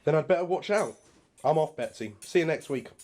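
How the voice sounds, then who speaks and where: A man's voice: on the high side, expressive, a little fast, darkish tone, slight background noise, lightly acted. A man with an English accent playing a teasing, good-humoured laundry deliverer in a period radio drama, full of wry, folksy banter.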